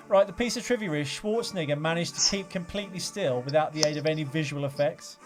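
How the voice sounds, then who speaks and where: A man's voice: on the high side, normal speed, music in the background. A man co-hosting a podcast, chatting casually and jokily about films in a rambling, sweary way. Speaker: podcast co-host. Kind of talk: casual comic film chat.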